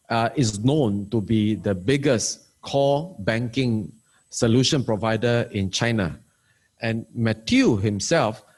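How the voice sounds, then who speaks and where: A man's voice: medium pitch, darkish tone. A male moderator summing up points and introducing speakers politely. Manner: summarizing, polite, "kind of" fillers.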